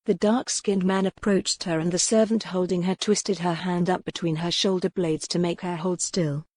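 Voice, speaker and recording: A woman's voice: on the low side, steady tone, moderate pace, a little mellow, dry recording. A woman reading a historical novel as scripted, dramatic audiobook narration.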